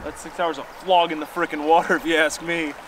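A man's voice: fairly high pitch, flat delivery, fairly quick, far from the mic. A young man bantering casually with joking, slangy exclamations, picked up by a companion's camera outdoors. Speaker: fishing companion. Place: outdoors by a river. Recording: noisy outdoor recording by boat and water.

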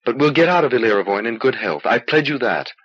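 A man voicing a calm, resolute young lord in a fantasy audiobook, reassuring and measured, on an old cassette transfer. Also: mid-range voice, husky, muffled recording.

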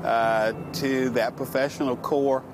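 A man's voice: medium pitch, steady tone, measured pace, over music. A man in his seventies, a county official, speaking earnestly in an outdoor interview with some fillers. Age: 70s. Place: outdoors in a city plaza.

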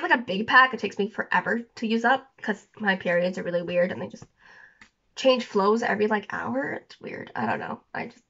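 A young woman chats to her camera in a small bedroom, rambling with many fillers and restarts. Her voice sits a little high and sounds bright, though sniffly and scratchy from a coming cold. The audio is dull and lo-fi, like a phone recording. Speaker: vlogger. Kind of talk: rambling casual chat to camera.

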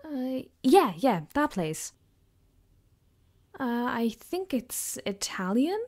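A woman playing a gentle, caring girlfriend in an ASMR roleplay, speaking softly and hesitantly, sometimes whispering, close to the mic. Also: high-pitched, big pitch swings, slow, exaggerated voice. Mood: relaxed, affectionate. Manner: soft-spoken, hesitant, rambling. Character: gentle caring girlfriend, comforting her partner. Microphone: close binaural microphone.

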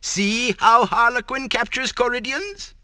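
A man's voice: very high-pitched, a little slow, bright, forceful tone, faint music behind, heavily acted. A man playing a solemn old mystic guide in a cartoon game, declaiming portentously. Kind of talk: animated game cutscene acting.

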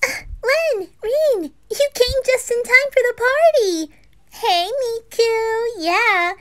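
A woman voicing a bubbly, excitable, teasing teenage girl with gushing, giggly delivery in an amateur anime roleplay. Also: extremely high pitch, voice pushed up, exaggerated voice. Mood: bubbly, excited. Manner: gushing, giggly, drawn-out exclamations.

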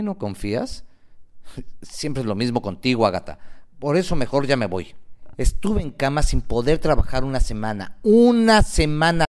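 A man's voice: medium pitch, darkish tone, pitched above the performer's own voice, lightly acted. A man playing an indignant young boyfriend who blames his girlfriend in a radio drama quarrel. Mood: defensive, irritated. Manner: accusing, indignant.

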